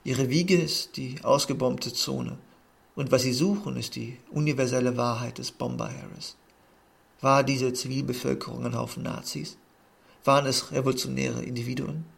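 A man reading a literary text aloud in a measured, earnest way. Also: medium pitch, fairly even intonation, vocal fry, slightly rough. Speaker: narrator.

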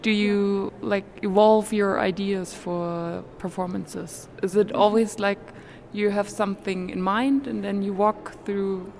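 A woman's voice: mid-range voice, fairly even intonation, measured pace, hardly any pauses, a little mellow, a little hiss or hum. A woman interviewing two artists, asking curious questions and helping them find words.

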